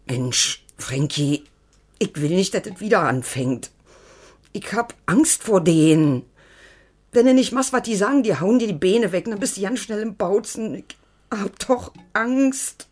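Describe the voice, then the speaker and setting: An actress playing an anxious, devoted old woman with a husky voice and a colloquial Berlin accent in a radio drama. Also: medium pitch, lively intonation, a little slow, darkish tone. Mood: frightened, pleading. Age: older. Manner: colloquial, rambling, pleading. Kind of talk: naturalistic radio drama acting.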